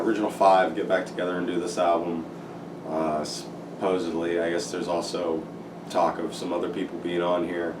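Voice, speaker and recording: A man giving a casual, rambling album review full of ums and likes, his voice still recovering after losing it. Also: sits low. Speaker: music reviewer. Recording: echoey room, distant mic, noisy.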